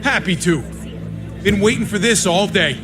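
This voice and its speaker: A man's voice: sits high, expressive, normal speed, over music. An actor playing a defiant, protective man in a video game drama, earnest and urgent.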